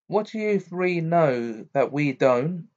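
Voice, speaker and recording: A kindly middle-aged mother from a storybook, acted out by a man. The voice is lifted high, fond and fussing, with a coy edge. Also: animated, slow pace, darkish tone, lo-fi sound. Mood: warm, coy.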